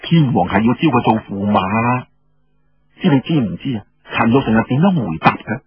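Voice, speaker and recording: Man playing a frank, chiding woman, husky. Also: mid-range voice, animated, moderate pace, pitched below the performer's own voice. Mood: emphatic, frank. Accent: Cantonese. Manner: emphatic, scolding, sighing. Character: frank, chiding older-sisterly noblewoman, consoling and worldly. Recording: dull lo-fi radio sound with room echo.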